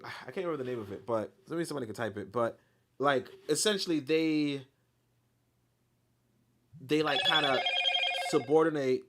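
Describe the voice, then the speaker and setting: A man reading a history book aloud, then breaking off into animated, blunt commentary with profanity and lots of fillers. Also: mid-range voice.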